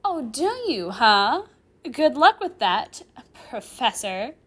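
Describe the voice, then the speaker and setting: A woman's voice: very high voice, big pitch swings, normal speed, sharp tone, big-room sound, pitched above the performer's own voice, exaggerated voice. A woman voicing a smug, teasing, defiant demon schoolgirl in a cheerful, taunting way for a story.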